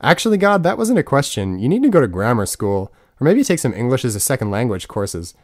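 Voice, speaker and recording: Guy playing a snarky young atheist dude in an audiobook, exasperated n casual, really animated. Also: mid-range voice, a little fast, no room sound, clean studio sound. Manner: sarcastic, casual. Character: cynical, exasperated young male atheist slacker.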